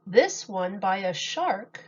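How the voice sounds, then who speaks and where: Slow, slightly husky woman reading to children. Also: medium pitch, lo-fi sound. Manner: deliberate, expressive storytelling delivery addressed to children. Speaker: teacher. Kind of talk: picture book read-aloud for young children.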